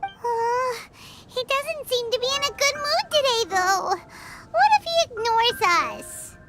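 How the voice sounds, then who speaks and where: A woman voicing a tiny, childlike fairy girl companion in an anime game, excitable, whiny and pushy, with a squeaky voice. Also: slow pace, exaggerated voice. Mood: sulky. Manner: speaks of herself in third person, excitable. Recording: loud music behind the voice.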